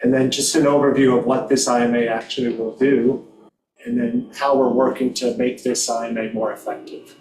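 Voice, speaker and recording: A man on planning commission staff giving a slide presentation in an even, measured way. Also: mid-range voice. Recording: echoey room from far off with faint noise.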